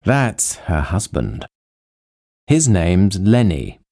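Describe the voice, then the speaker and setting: A man reading lines of English course dialogues in an expressive, sing-song way. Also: low-pitched, very slow. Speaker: dialogue reader in a language course. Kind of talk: slow, clearly read textbook dialogue.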